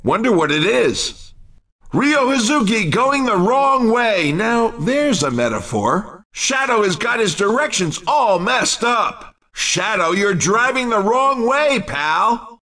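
An actor playing an excitable, cheeky race commentator in a video game, with a wry, showy sportscaster delivery. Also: male voice, high voice, distant mic, full character voice.

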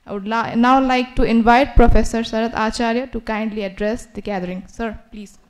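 A woman's voice: a little high, fairly even intonation, fairly quick. Young woman emcee reading a formal introduction. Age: young adult. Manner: formal, read-out delivery. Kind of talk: scripted speaker introduction. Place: indoor university seminar hall. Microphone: podium microphone.

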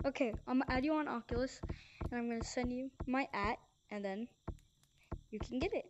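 A person hosting an in-game talent show in casual chat, with the voice run through a pitch-raising voice changer, sounding like a woman. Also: brisk, muffled recording, background noise. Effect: high-pitched voice changer. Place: virtual reality game session. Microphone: VR headset mic.

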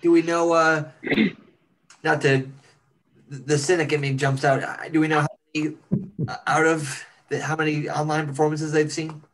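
A man's voice: fairly high pitch, flat delivery, normal speed, rough recording. A man chatting casually on a panel as a cast member, with wry, clipped asides. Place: indoors on a video call. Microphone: computer mic on video call.

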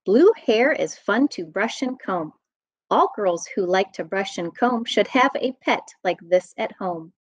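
Animated American woman reading a picture book. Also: mid-range voice, a little slow, dry recording. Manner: expressive, sing-song read-aloud delivery. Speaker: children's storytime reader. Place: room at home. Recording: dull, muffled webcam audio.

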